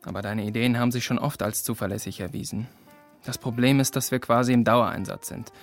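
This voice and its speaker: An actor plays an overworked policeman in a children's audio drama. He is doubtful yet friendly, speaking hesitantly in a medium register, with quiet music underneath. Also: mid-range voice, fairly even intonation, a little fast, lightly acted. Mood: doubtful, apologetic.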